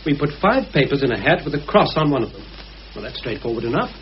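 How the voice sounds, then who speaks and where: An actor as calculating British plotter, lively intonation. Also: male voice, medium pitch, close to an everyday voice. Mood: methodical, persuasive. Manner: measured, methodical, persuasive. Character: Richard, calm calculating war veteran plotting a murder. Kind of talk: mid-century British radio drama acting. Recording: muffled, hissy old radio recording.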